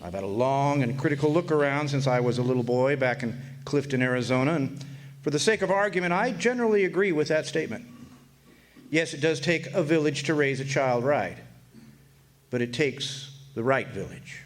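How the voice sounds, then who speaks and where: A man's voice: mid-range voice, animated, lightly acted. An actor playing a wry, folksy older man who narrates his Arizona orphan boyhood in a radio drama. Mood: wry, reflective. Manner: folksy, wry, drawn-out storytelling. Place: live stage performance in a hotel.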